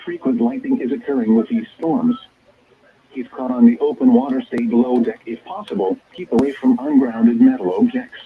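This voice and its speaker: A man's voice: medium pitch, huge pitch swings, normal speed, vocal fry. A synthetic American male voice reading scripted weather bulletins in a formal, impersonal, segmented way, heard over the radio. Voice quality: synthetic text-to-speech voice. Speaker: automated weather radio announcer. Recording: dull, band-limited weather radio broadcast.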